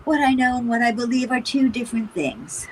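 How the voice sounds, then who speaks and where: A woman's voice: a little high, expressive, a little fast, a little mellow, slight background noise, lightly acted, rough recording. A woman playing a frazzled, sardonic mother of a grown son, dry and exasperated, in naturalistic play dialogue. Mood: weary, guarded.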